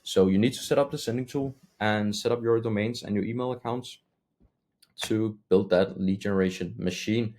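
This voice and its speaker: Very deep-voiced man giving a rambling tutorial. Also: normal speed, a little mellow. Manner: loose and rambling, frequent "um" and self-corrections. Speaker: agency owner teaching cold email outreach. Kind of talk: casual tutorial talk.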